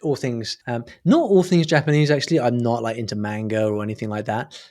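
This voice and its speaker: A man's voice: medium pitch, fairly even intonation, brisk, darkish tone. A man speaking casually as a podcast guest, thoughtful and wordy, circling back as he explains things. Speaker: podcast guest, online business owner. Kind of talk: casual interview conversation.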